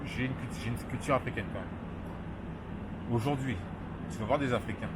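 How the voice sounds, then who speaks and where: Impassioned man on a noisy street. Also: mid-range voice. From France. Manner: impassioned, halting with restarts. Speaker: street interviewee. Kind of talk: street interview.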